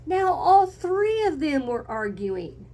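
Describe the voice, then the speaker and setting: A woman's voice: high-pitched, very animated, slow pace, warm tone. A woman teacher reading a picture book to young children, speaking expressively in simple phrases and putting encouraging questions to her listeners. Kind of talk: read-aloud lesson for children, with questions to listeners.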